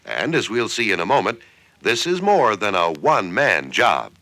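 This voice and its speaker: An American man narrates in the style of an early 1960s sales training film. His pitch swings widely as he makes each point, and the tone is bright and forward. He sounds conversational yet persuasive, leaning on key phrases, in a dry recording with little room sound. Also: big pitch swings, normal speed, dry recording.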